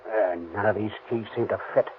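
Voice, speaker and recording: A man plays a brash burglar in an old radio crime drama, gruff and impatient. His voice sits low. The sound is thin and tinny, with hiss behind. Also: steady tone, a little fast, lightly acted. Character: brash male burglar breaking in.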